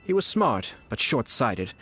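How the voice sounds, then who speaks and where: An actor playing a shrewd young nobleman in a video game, speaking in a measured, courteous and formal way. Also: medium pitch, sing-song, over loud music. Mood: calm, assured. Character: composed, shrewd young nobleman and clan commissioner. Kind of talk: scripted video game voice acting.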